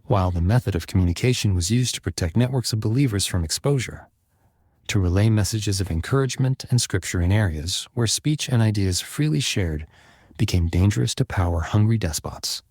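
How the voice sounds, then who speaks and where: A man narrating scripted fiction like an audiobook, in a measured, literary storytelling manner. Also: fairly low pitch, lively intonation, darkish tone, breathy edge, no room sound, studio quality. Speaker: author narrating own serialized fiction podcast.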